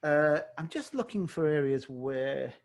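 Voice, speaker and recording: A man with a slightly hoarse voice, teaching watercolor live on a video call and thinking aloud as he paints. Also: fairly high pitch, a little slow. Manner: relaxed, thinking aloud while painting, self-correcting. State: slightly hoarse, losing his voice. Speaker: watercolor tutor. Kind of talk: live online painting tutorial.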